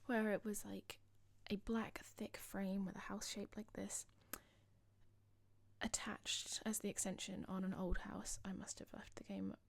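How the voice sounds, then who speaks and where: A young woman with a slightly husky voice, rambling casually over a game build video. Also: medium pitch, little pitch movement, unhurried, no room sound, whispered. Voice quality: slightly husky, losing her voice. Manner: rambling, chatty, self-deprecating. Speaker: gaming channel host.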